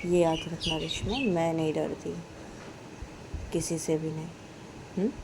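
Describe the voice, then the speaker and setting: A woman's voice: deep voice, flat delivery, unhurried, vocal fry, background noise. A woman voicing a possessive young woman in love who presses with repeated questions.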